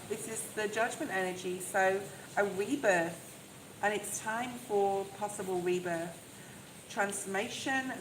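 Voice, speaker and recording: A soft-voiced woman giving a live tarot reading, talking in a chatty, reassuring way and often checking in with "okay?". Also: medium pitch. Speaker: tarot reader. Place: outdoors in the rain. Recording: echoey, with loud rain noise. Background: rain noise.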